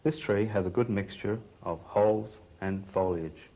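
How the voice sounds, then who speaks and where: A man's voice: lowish voice, measured pace, mellow. A man presenting an instructional demonstration, explaining things in a plain-spoken way. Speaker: expert presenter.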